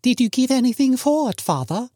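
A man's voice: very high voice, expressive, a little fast, dark tone, dry recording, studio quality, pitched above the performer's own voice. A man voicing a middle-aged wife and mother in a story, inquisitive and teasing, with a light questioning manner.

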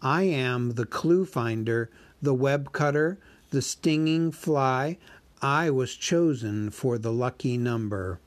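A man voicing a small, clever male hobbit who speaks politely and flatteringly in riddles. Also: mid-range voice, takes it slowly, no echo, lightly acted. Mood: riddling.

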